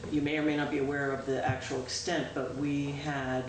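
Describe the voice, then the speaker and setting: Loud woman town clerk rambling through a report, lots of ums, very echoey distant room sound. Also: extremely low pitch, level tone, brisk. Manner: chatty, with frequent "um" and self-corrections. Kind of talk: informal spoken report at a public meeting. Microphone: distant room microphone. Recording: echoey, distant, noisy local-access meeting recording.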